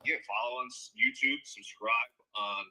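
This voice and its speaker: A man's voice: mid-range voice, normal speed, sharp tone, low-quality recording. A man co-hosting a live stream, reading viewer questions aloud with hesitant fillers.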